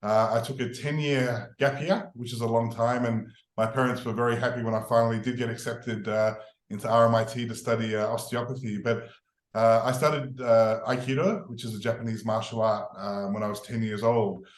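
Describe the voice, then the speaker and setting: A middle-aged man with an Australian accent, a podcast guest telling his story in a relaxed, rambling way with many fillers. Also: medium pitch, a little fast, echoey room. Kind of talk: podcast interview.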